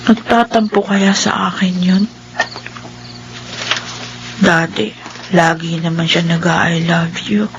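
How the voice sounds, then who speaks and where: A Filipino woman acting in a radio drama as a blind young wife, gentle, affectionate and apologetic. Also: on the low side, steady tone, takes it slowly, long pauses, dull audio, background noise. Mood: hurt, pleading.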